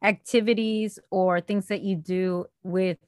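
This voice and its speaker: A woman's voice: mid-range voice, fairly even intonation, measured pace, a little mellow, no echo, studio quality. A woman hosting a podcast in casual chat, dropping Spanish phrases into her English. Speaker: podcast host.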